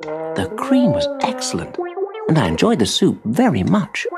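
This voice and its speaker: A man reads a children's storybook aloud. His medium-pitched voice swings widely up and down in lively narration. Music plays behind him. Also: a little slow, creaky. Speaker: storybook reader.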